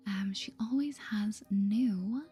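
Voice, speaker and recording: A woman softly whispering an ASMR game playthrough in a gentle, playful way, reading dialogue aloud and thinking out loud. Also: mid-range voice, takes it slowly, soft background music. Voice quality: breathy, mostly whispered. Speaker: gaming video host.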